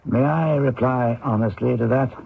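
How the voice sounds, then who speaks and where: An actor in an old radio drama playing a courteous, inquisitive doctor, with a gravelly, husky voice and a measured, probing manner. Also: male voice, medium pitch, phone-quality sound. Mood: candid, knowing. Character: courteous, inquisitive male ship-travelling doctor.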